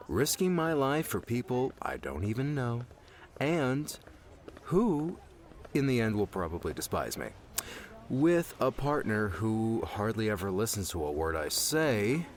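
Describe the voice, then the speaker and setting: A man playing a touchy, guarded young guardian in an audiobook, with an exasperated, halting delivery. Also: mid-range voice, big pitch swings. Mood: sarcastic, irritated. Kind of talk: audiobook character dialogue.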